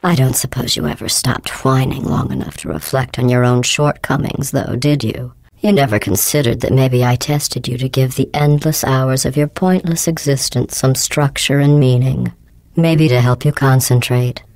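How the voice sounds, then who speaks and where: A woman playing a coldly menacing, sarcastic female artificial intelligence in a video game, deadpan and falsely polite, with robotic processing on the voice. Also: very deep voice, gravelly, dry recording. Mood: cold, hateful. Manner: deadpan, passive-aggressive, falsely polite, measured enunciation. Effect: robotic synthesized voice processing. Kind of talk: scripted video game voice acting.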